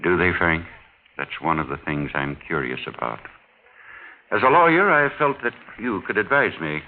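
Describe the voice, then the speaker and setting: Deep-voiced, suave older husband. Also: male voice, lively intonation. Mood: insinuating. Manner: measured, formal, insinuating, falsely genial. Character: wealthy, older husband, suave, quietly menacing mining engineer. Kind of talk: 1940s radio mystery acting. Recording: muffled, noisy 1940s radio recording.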